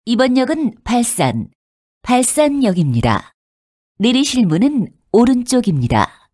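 A woman's voice: a little high, very animated, measured pace, dark tone, no echo, clean studio sound. A woman reading scripted subway announcements in a polite, formal and carefully enunciated way. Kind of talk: scripted public transit announcement.

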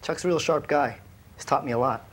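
A man's voice: fairly high pitch, brisk, husky. An actor in his thirties playing a slick, brash investment manager who is evasive under pressure, in a corporate training-film drama. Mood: breezy. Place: indoor office.

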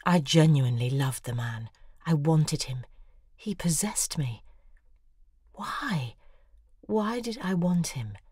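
A woman playing an intense, obsessive lover in an audio drama, giving an intimate confessional monologue in a breathy, husky voice. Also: fairly low pitch, mellow, no echo, studio quality. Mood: anguished, intense.

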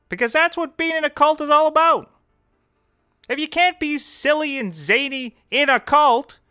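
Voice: sits high, bright, forceful tone, heavily acted. An actor in a scripted comedy playing a brash, hapless private detective who rambles and corrects himself. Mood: rousing. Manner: rambling, self-correcting, emphatic. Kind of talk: scripted audio comedy acting.

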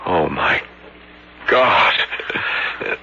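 A young gendarme in a radio mystery drama. He is earnest, excitable and easily baffled, with a very animated, urgent delivery. The old recording is dull and clearly noisy. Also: deep voice, drawn-out words, heavily acted. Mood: tense, horrified. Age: young adult.

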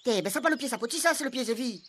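A woman's voice: a little high, expressive, very fast, fairly bright tone, slight background noise, pitched above the performer's own voice. A woman with a Réunionese accent voicing a brash young male island buddy in a local comedy radio soap, with bossy, scolding banter.

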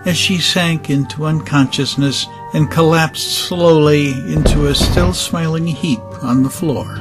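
Male narrator for dramatized fiction, fairly high voice, light music underneath. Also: steady tone, unhurried.

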